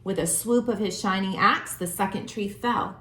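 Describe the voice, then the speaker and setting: A woman reading a children's storybook aloud as a storyteller, with expressive, deliberate phrasing. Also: medium pitch. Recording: roomy, echoing room sound.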